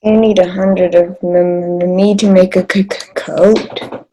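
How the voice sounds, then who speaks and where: A woman playing a plain-spoken woodland animal in a children's readers theater piece, reading deliberately. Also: lowish voice, flat delivery, dark tone, no echo, soft background music. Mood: timid, pleading. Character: plain-spoken animals: timid tiny squirrel, big helpful bear.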